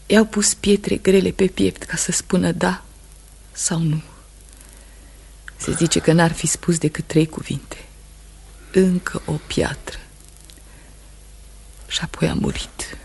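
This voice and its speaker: Sorrowful devoted wife, husky, creaky, solemn intensity, radio drama delivery. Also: female voice, on the low side, steady tone, pauses often, a little mellow, heavily acted. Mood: grief, restrained. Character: sorrowful, steadfast wife of a condemned man. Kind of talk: Romanian radio theatre acting.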